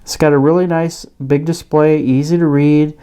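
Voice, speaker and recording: Mellow-voiced man casually demonstrating a gadget. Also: on the high side, level tone, moderate pace, clear, resonant tone. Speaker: gadget reviewer.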